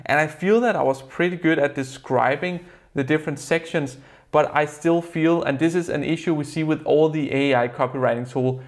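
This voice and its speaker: A young man with a Danish accent reviews software from a desk in a plain room. His voice sits a little high, and his phrasing is earnest and explanatory, with a few non-native turns. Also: moderate pace. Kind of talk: product review to camera.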